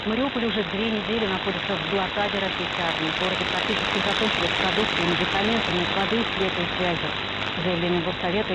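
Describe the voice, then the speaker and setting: A woman presenting scripted radio news. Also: fairly low pitch. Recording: noisy dull shortwave radio broadcast, heavy hiss.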